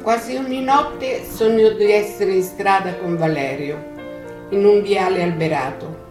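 A woman giving a slow, measured dramatic reading with lingering pauses. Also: mid-range voice. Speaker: reader. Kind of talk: dramatic reading over music. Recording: echoey room with music underneath.

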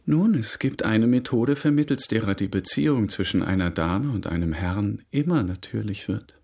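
Husky, courteous gentleman suitor. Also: on the low side, expressive, normal speed, full character voice. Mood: flirtatious. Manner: polite, probing, understated. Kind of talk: German radio drama acting.

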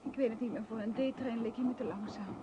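A woman's voice: fairly high pitch, fairly quick, background noise, close to an everyday voice. An actress playing an anxious young woman in a radio play, pleading with her fiancé in hesitant, stammering phrases. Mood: nervous, fussing. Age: young adult. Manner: hesitant, stammering, repeating words. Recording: muffled old radio drama recording.

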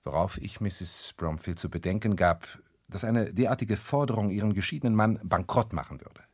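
Radio drama lawyer: lowish voice, formal and guarded, clipped precise replies, dry studio sound. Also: male voice, normal speed, darkish tone, breathy edge, no echo. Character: guarded, formal male lawyer, junior partner of a firm. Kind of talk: radio crime play acting.

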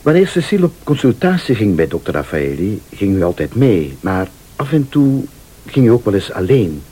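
An actor with a Flemish accent playing an insistent, accusing man in a radio play, deliberate and probing, sometimes sardonic or pleading. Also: fairly low pitch, very animated, mellow, slightly rough.

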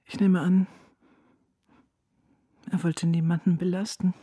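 A middle-aged mother in mourning, delivering a radio drama monologue. Her voice is husky and restrained, the phrases plain and halting, in a very dry recording. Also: on the low side, level tone, mellow, no echo. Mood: restrained grief. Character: grieving, quiet mother recounting her son's death.

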